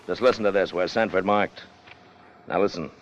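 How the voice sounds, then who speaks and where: Casting: man playing hard treasure-hungry ship's officer, American, deepish, domineering, old radio drama with light hiss. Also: normal speed, lo-fi sound, close to an everyday voice. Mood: greedy, intent. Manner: domineering, clipped, intense. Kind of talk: 1950s radio drama acting, narration and dialogue. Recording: noisy vintage 1950 radio recording.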